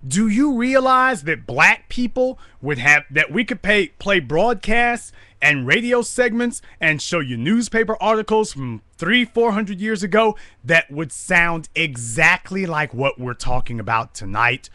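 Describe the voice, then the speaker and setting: A man hosts a call-in talk show, taking callers and lecturing them bluntly. His voice is bright and forceful. The sound is dry and close, with no room around it. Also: high voice, lively intonation, measured pace, few pauses, dry recording.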